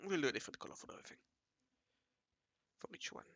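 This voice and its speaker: A man with a raspy voice talking casually to himself while he draws, mumbling and correcting himself as he goes. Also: quick pace, pushed and bright, no echo. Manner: mumbling and self-correcting, often murmuring half to himself. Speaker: hobby artist narrating his drawing session. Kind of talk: casual think-aloud commentary while drawing.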